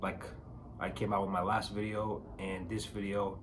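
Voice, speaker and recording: Hoarse man host, raspy and low, chatty and emphatic, over steady background noise. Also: normal speed. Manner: chatty, emphatic, frequent fillers and rhetorical questions. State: hoarse from a cold. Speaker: bitcoin video host. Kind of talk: casual talking-to-camera advice.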